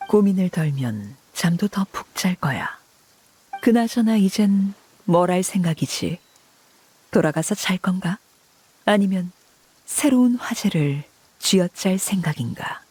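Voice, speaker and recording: A woman voicing a cool, shrewd, commanding woman with quiet menace in a video game, husky, unhurried and imperious. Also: lowish voice. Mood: cool, teasing.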